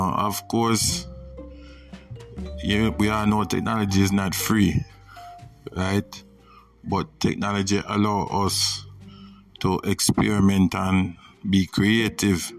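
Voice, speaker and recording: Jamaican male podcast host, low and unhurried, reflective motivational chat, recorded at a distance from the mic. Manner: reflective, pausing often, repeats "you know". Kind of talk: casual motivational monologue. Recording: distant mic with music behind.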